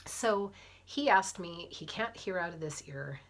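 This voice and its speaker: Lowish-voiced woman with a cold, chatty. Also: unhurried. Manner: chatty, animated storytelling with frequent soft whispered asides. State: has a cold. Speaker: vlogger, physician assistant and fitness coach. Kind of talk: casual storytelling to camera.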